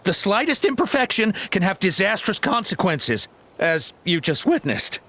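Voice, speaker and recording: A man's voice: sits high, sing-song, moderate pace, bright, forceful tone, full character voice. A man playing a nervous, evasive henchman with a hesitant, defensive manner in an anime game. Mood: explaining.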